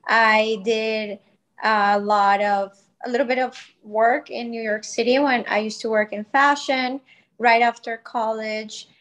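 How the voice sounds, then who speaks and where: A woman in her thirties from Colombia talks as a podcast guest, with a voice pitched a touch high. She speaks in a chatty, grateful way, leaning on fillers as she tells her story. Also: fairly even intonation, a little slow, a bit bright, rough recording. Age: 30s. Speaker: guest, wellness brand founder. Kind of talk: podcast interview, personal story.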